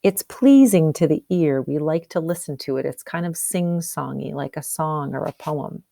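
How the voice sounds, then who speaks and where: Lower-voiced woman teacher reading aloud. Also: normal speed, creaky, dark tone, no echo. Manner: explanatory, asks and answers questions. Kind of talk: storybook read-aloud with teaching questions.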